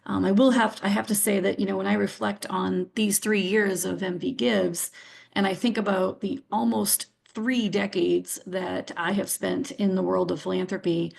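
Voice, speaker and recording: A woman presents a webinar over a video call. Her voice is gravelly and scratchy from sinus trouble, and her pitch sits in the middle. She keeps an upbeat, encouraging tone with plenty of fillers. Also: fairly even intonation, normal speed.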